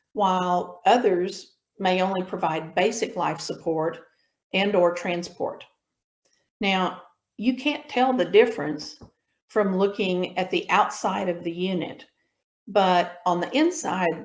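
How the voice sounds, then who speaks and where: Slow-paced woman lecturing in an echoey room. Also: fairly low pitch. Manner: measured, explanatory, with pauses mid-sentence. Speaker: instructor. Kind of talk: informal lecture presentation with slides. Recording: roomy echo on the voice.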